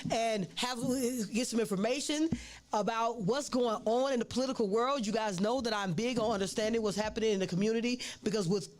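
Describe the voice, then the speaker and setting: A woman's voice: a little high, fairly quick. A woman hosting a casual interview, rambling and restarting her words with many fillers. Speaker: host.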